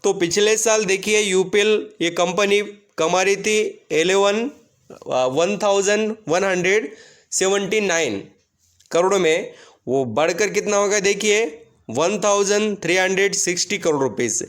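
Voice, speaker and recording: A man with a distinctly high voice presents financial results as an online host. He explains things step by step to his viewers, freely mixing English terms and numbers into his speech. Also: unhurried, a bit bright. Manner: Hindi heavily mixed with English terms and numbers.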